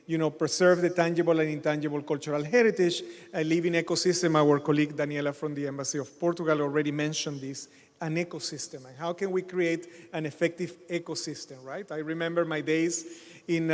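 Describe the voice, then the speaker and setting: A middle-aged man giving a conference keynote, halting with many fillers. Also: a little high. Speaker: keynote speaker. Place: large hotel conference hall. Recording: long hall reverb.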